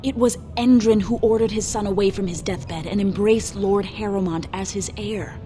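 An actress playing a grave young dwarf noblewoman in a video game, speaking in a measured, solemn way over game music. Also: mid-range voice, fairly even intonation, dark tone, natural voice. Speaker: game character.

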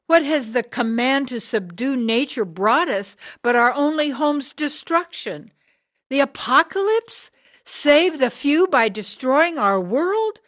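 An actress as a hard, driven woman of sixty in a radio drama. She delivers her indictment slowly, with weighty rhetorical pauses. The sound is close and dry. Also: high-pitched, expressive, fairly bright tone, dry recording, heavily acted. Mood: bitter, impassioned. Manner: deliberate, rhetorical, emphatic pauses. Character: hard, driven sixty-year-old woman, bitter anti-church preacher.

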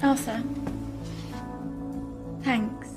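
A woman's voice: a little high, steady tone, very slow. Woman, frank game chemist, wry, wistful, intimate near-whispers, music underneath. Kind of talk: naturalistic video game acting.

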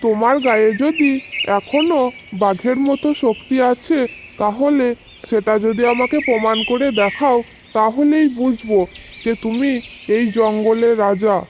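A woman's voice: fairly high pitch, a little slow, noisy background, pitched below the performer's own voice, natural voice. A woman voicing a sly, weary old fox in a children's cartoon folk tale, wheedling, mocking and plotting aloud. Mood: wheedling, taunting.

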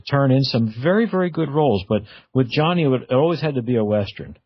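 A middle-aged man hosting a radio interview, chatting casually in a halting, reflective way. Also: medium pitch, lively intonation, slightly rough, no echo. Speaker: radio show host. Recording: dull, lo-fi radio audio.

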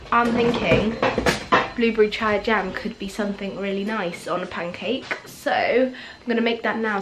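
A woman's voice: medium pitch, a bit bright, a little hiss or hum, poor audio. A young woman in her twenties chatting casually to a handheld camera in her home kitchen, with lots of filler words. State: croaky just-woken voice. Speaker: vlogger. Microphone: handheld camera at arm's length.

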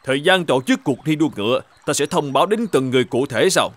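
A man's voice: a little high, expressive, fast talker, lightly acted. A man voicing a stern, shrewd governor in a folk-tale cartoon, speaking in a commanding, formal and accusatory way. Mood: calm authority. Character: stern, shrewd male governor judging a murder case.

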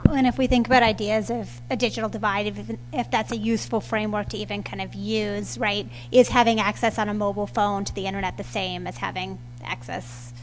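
A woman panelist speaking in a measured way, often repeating "kind of". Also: mid-range voice, flat delivery, a little hiss or hum, poor audio. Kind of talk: panel discussion. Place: lecture hall with audience.

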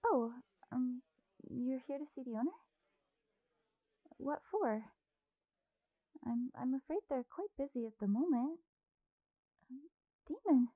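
A woman's voice: sits high, expressive, slow, pauses often, dry recording, phone-quality sound, exaggerated voice. A woman playing a timid, eager young waitress girl in an audio drama, sweetly earnest and hesitant, with a girlish voice. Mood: timid, nervous.

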